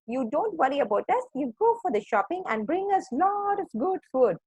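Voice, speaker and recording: A woman's voice: high voice, sing-song, normal speed, darkish tone, no room sound, voice pushed up. A woman voicing childlike little storybook lambs that bleat between phrases. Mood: cheerful, obedient.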